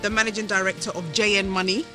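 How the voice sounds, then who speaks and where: A woman's voice: mid-range voice, level tone, fast, music in the background. A woman hosting the trip, chatting in a bubbly, friendly way with the guests and the camera. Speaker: host. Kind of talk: casual chat.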